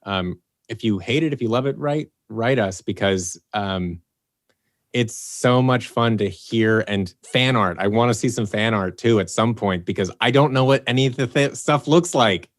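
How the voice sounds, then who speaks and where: A man's voice: on the low side, normal speed, no room sound, clean studio sound. A man, a voice actor and series producer, chatting casually on a podcast and acting out stories of fan conversations. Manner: animated storyteller who acts out quoted fan exchanges.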